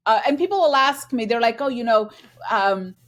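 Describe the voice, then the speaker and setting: A woman's voice: on the high side, lively intonation, normal speed. Earnest woman executive from Bangladesh. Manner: earnest, reflective, acts out quoted dialogue. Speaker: guest, finance and transformation executive. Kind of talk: conversational interview.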